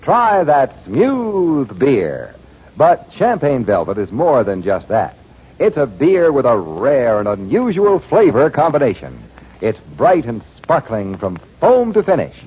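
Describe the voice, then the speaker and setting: A man's voice: medium pitch, huge pitch swings, measured pace, breathy edge. A man doing scripted 1940s radio announcing. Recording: muffled 1940s radio recording.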